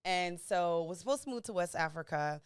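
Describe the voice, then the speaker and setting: Chatty young Miami woman, lowish smooth voice. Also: fairly quick, dry recording, clean studio sound. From Miami, USA. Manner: chatty and animated, laughs often, quotes her own thoughts. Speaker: podcast guest. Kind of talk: casual interview chat.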